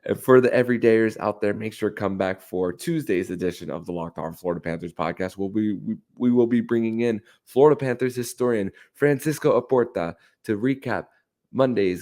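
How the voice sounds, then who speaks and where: A man hosting a sports podcast, talking in a halting way with repeated words and small stumbles. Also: lowish voice, animated, a little mellow. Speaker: podcast host.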